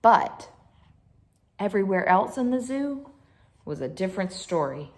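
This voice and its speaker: A woman's voice: mid-range voice, very animated, a little slow. A woman reading a picture book aloud to children as a storyteller, expressively, with chatty asides to her listeners.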